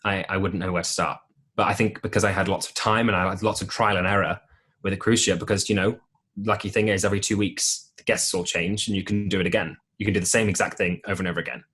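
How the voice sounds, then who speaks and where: A young man with a deep voice telling stories in a casual podcast interview, with plenty of ums and restarts. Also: quick pace, slightly rough. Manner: relaxed storytelling with many ums and restarts. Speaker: podcast guest, musical theatre performer.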